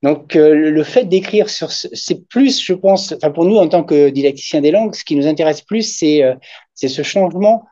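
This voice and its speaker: A man's voice: on the high side, moderate pace, dark tone, dry recording. A man whose first language is German, presenting academic research and answering questions with many hesitations and fillers at a university conference. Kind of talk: academic discussion after a talk. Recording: dull muffled sound.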